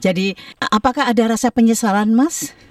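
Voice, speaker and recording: A woman's voice: on the high side, fairly quick, darkish tone, no echo, far from the mic. Chatty woman radio host, off-mic. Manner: friendly, chatty questioning. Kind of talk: radio interview. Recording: dry voice, a bit off-mic.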